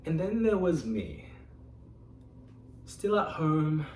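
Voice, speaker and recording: A man's voice: a little high, a little hiss or hum. Man in his twenties giving a club speech. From Australia. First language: Mandarin Chinese. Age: 20s. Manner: expressive storytelling with dramatic pauses. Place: meeting room. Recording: echoey room, mic at a distance.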